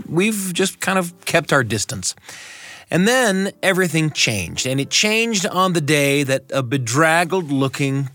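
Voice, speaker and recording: A middle-aged American man hosting a radio show and telling personal stories in measured, deliberate phrasing. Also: medium pitch, fairly bright tone. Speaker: radio host and storyteller. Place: dry radio studio.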